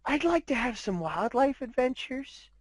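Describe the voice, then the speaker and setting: Shy young cartoon pony mare played by an amateur reader, timid, halting, polite, close dry sound. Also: high-pitched, big pitch swings, dry recording, pitched above the performer's own voice, exaggerated voice. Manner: hesitant, polite, stammering with ums.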